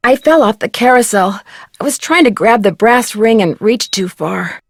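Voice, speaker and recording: A woman's voice: fairly high pitch, animated, normal speed, dry recording, clean studio sound. An actress voicing an earnest, plucky young woman detective in a video game, polite and understated. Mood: sheepish. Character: earnest, plucky, accident-prone young female amateur detective. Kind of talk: scripted video game voice acting.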